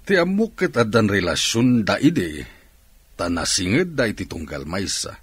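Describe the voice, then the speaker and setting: Low, husky, probing boss. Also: male voice, very animated, normal speed, no echo. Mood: inquisitive. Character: probing, composed male boss asking after staff. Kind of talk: radio drama acting in Ilocano.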